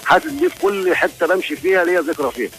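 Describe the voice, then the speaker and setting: Quick man telling stories by phone. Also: sits high, faint music behind. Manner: lively anecdote teller, quick. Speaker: phone guest, musician. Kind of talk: storytelling on a phone call.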